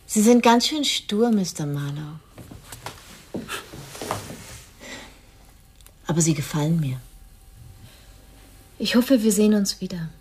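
A woman playing a self-possessed, sharp-tongued society wife in a radio drama, controlled and pointed. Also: mid-range voice, expressive, normal speed, a little hiss or hum, natural voice. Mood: cool, intrigued. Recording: music behind the voice.

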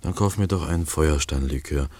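A man's voice: rumbling low, fairly quick, darkish tone. An actor playing a wry, talkative man from a film crew who needles his colleague in a radio play. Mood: chatty, insistent. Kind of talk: German radio drama acting.

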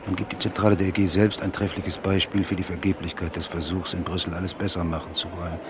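Low-voiced smug newsman played by an actor, street noise. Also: little pitch movement, fast talker, natural voice. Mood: smug, musing. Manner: muttering to himself, reading aloud. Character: self-satisfied, muttering male newspaper journalist. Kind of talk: radio play acting. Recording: loud outdoor street and traffic noise.

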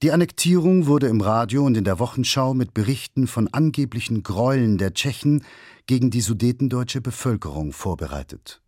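A man's voice: medium pitch, lively intonation, normal speed, a little mellow, no echo, clean studio sound. A man reading a scripted historical documentary as its narrator.

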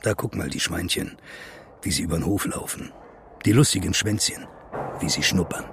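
A man's voice: low voice, moderate pace, gravelly, noisy background. A man acting as a detached radio play narrator, delivering stark, emphatic literary phrases. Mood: stark, observing.

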